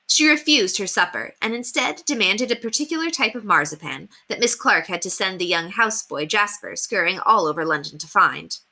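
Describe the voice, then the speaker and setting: A woman reading a children's story aloud as a teacher would, with deliberate emphasis on key words. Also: medium pitch, expressive, sharp tone. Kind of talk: expressive storybook read-aloud narration.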